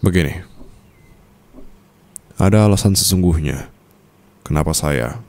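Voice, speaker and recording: An actor with an Indonesian accent playing a calm, teasing and tender mafia boss in an intimate ASMR roleplay, speaking softly and slowly with pauses. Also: male voice. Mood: confiding, somber. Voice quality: very deep, creaky voice.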